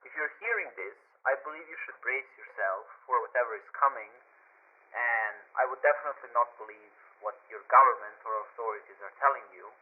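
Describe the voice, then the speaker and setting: A man's voice: mid-range voice, brisk, natural voice. A man playing an alarmed, distrustful radio co-host who gives news commentary in a hesitant but emphatic, opinionated way. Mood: grave, distrustful. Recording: tinny band-limited radio broadcast.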